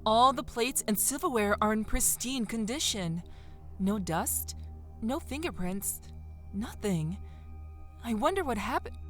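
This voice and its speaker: A woman playing an inquisitive, sharp-tongued young explorer in a horror audio drama. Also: fairly high pitch, expressive, unhurried, soft background music. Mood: puzzled.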